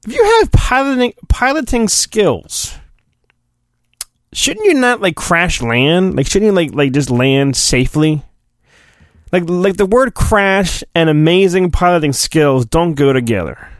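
A man's voice: high voice, sing-song, unhurried, no room sound, studio quality. A male podcast host giving a mock-dramatic storybook reading, with hammy emphasis and rambling joking asides. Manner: hammy, exaggerated emphasis, digressive.

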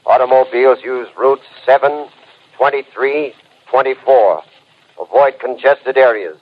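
An actor playing an urgent official announcer in a 1930s radio drama, reading warnings in a terse, telegraphic way. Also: male voice, mid-range voice, unhurried, lightly acted. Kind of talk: 1930s radio drama acting as emergency bulletin. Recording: muffled 1930s radio broadcast.

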